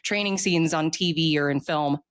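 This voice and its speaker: An American woman in her forties talking casually as an interview guest, telling stories in an animated way. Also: low-pitched, normal speed, no room sound, studio quality. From United States. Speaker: author guest, military veteran.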